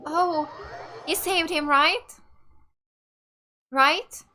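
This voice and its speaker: High-voiced woman reacting, unhurried. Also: bright, forceful tone, slight background noise. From Finland. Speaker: gaming streamer. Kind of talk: casual reacting let's play commentary. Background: video game music.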